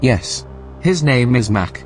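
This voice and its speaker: An actor playing a formal, measured male artificial intelligence in a science-fiction audio drama. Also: medium pitch, expressive, soft background music. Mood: calm, apologetic. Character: calm, clinical male artificial intelligence running a research station.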